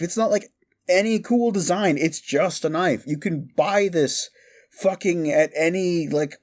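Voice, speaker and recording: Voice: medium pitch, a little mellow. A performer of unclear sex playing a mischievous, exasperated modern necromancer in a comedic audio roleplay, rambling casually and swearing with incredulity. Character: mischievous, exasperated necromancer prankster, gleefully amoral.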